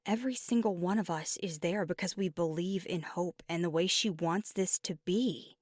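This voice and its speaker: Woman acting as young female werewolf girlfriend, ASMR roleplay, lowish soft voice, deliberate, earnest, fiery loyalty, breathy, close dry mic. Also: no room sound, natural voice. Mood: flustered, humble. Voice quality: soft, often breathy and near-whispered. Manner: deliberate, candid, casually profane. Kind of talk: intimate ASMR roleplay monologue. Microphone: close microphone, dry.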